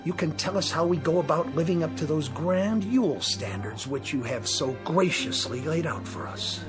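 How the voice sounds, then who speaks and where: An actor playing a cold, stern boss with a pompous, sarcastic manner in a radio drama. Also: male voice, a little high, expressive, moderate pace, natural voice. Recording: radio drama with music underneath.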